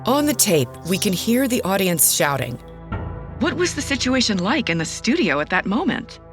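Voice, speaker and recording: A woman playing an earnest radio investigator in an audio drama, narrating and interviewing in a measured, serious way. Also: medium pitch, sing-song, soft background music, lightly acted. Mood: intrigued.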